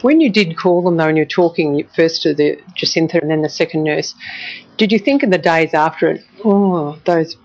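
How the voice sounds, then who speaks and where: A woman's voice: deep voice, normal speed, darkish tone. A woman with an Australian accent conducting a broadcast news interview in an earnest, probing, emotive way. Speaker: television journalist interviewing. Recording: dull, band-limited broadcast audio.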